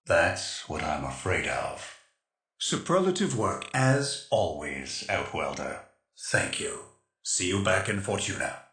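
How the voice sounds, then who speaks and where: Animated, earnest rebel tech expert. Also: male voice, low-pitched, takes it slowly, roomy sound, exaggerated voice. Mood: grave, grateful. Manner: precise, urgent, clipped tactical instructions. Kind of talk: dramatic game mission dialogue.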